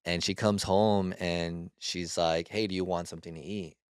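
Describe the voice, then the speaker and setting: A man in his twenties, a podcast guest, telling his story in a relaxed, halting way with frequent restarts. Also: deep voice, clean tone, no echo, clean studio sound. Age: 20s. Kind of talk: casual storytelling.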